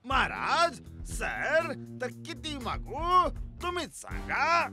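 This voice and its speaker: An actor voicing a male kidnapper henchman in an animated cartoon. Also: sits high, slow, soft background music, heavily acted. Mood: deferential. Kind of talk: animated cartoon dub acting.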